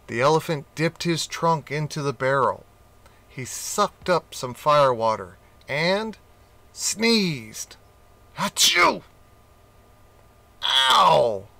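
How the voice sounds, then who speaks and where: Slow, bright-voiced storybook reader. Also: male voice, a little high, expressive. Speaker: audiobook reader. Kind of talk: storybook read-aloud.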